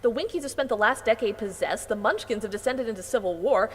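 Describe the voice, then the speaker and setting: A woman's voice: on the high side, quick pace, husky. A woman playing an earnest, outspoken young girl in a fantasy audio drama, speaking in a challenging way. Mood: accusing. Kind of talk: full-cast fantasy audio drama acting. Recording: long reverb, music behind.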